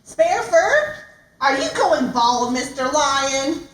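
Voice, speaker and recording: A woman's voice: sits high, big pitch swings, slow pace, a bit bright, exaggerated voice. A woman voicing a cheeky, mock-innocent giraffe in a children's puppet show, teasing playfully, recorded at a distance in an echoey room. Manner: teasing, playfully mishearing rhymes. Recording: roomy, distant mic, noisy.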